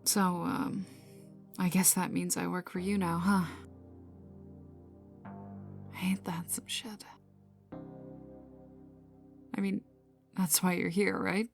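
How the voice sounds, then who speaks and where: Vi, slow, gravelly, sardonic young villain brawler woman acting. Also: lowish voice, level tone. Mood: wry, teasing. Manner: sardonic, swearing, breathy half-whispers. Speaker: voice actor in audio roleplay. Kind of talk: intimate ASMR roleplay, one side of dialogue. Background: ambient music.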